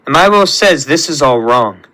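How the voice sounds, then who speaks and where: A man voicing a solemn, righteous superhuman who defies his creator, speaking in a measured, earnest way in a superhero story. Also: on the high side, animated, sharp tone, rough recording. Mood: defiant. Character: solemn, righteous young male superhuman rebelling against creator.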